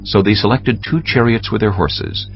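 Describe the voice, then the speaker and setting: A man's voice: fairly low pitch, normal speed, creaky, highs cut off, faint music behind. A man giving scripted narration of scripture as an audiobook narrator.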